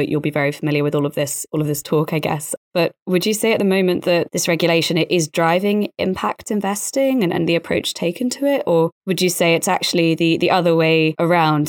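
Female podcast host, low-pitched and close-recorded, polite, conversational, feeling her way through questions and comments. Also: no echo. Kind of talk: podcast interview and discussion.

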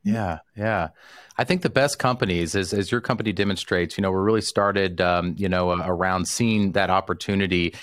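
A man's voice: deep voice, steady tone, normal speed, a bit bright, dry recording. A man hosting an interview show, talking enthusiastically with frequent fillers and restarts. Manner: enthusiastic, lots of fillers and repeated words. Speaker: host.

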